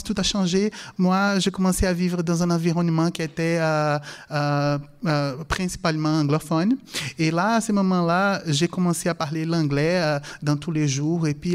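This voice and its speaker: Higher-voiced man, native Portuguese speaker, lecturing haltingly. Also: darkish tone. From Brazil. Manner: halting, hesitant phrasing with frequent self-corrections. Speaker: language lecturer and conference speaker. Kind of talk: public talk with personal anecdotes.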